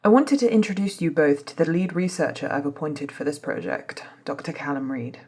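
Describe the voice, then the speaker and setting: A woman playing a composed, authoritative female doctor in a soft-spoken ASMR drama, speaking in a measured, formal, husky way. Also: deep voice. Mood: composed, businesslike. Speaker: voice actor in scripted ASMR roleplay.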